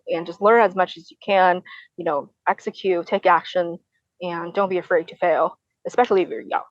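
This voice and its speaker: A woman's voice: fairly low pitch, dry recording, lo-fi sound. A woman in her twenties answering conversationally as an interview guest, often repeating and rephrasing her phrases. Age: 20s. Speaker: podcast guest, real estate investor.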